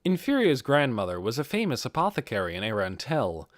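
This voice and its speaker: A man narrates an audiobook with plenty of pitch movement. His voice is mid-range and recorded very dry, in a scripted storytelling style. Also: sing-song, fairly quick, no echo. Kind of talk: expressive scripted fiction narration.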